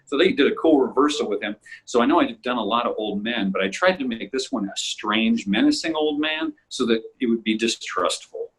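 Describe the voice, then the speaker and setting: A man with a slightly husky voice, chatting casually as a co-host of an audio drama show. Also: medium pitch, sing-song, fairly quick, a bit bright, low-quality recording. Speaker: voice actor and podcast co-host. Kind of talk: casual behind-the-scenes chat.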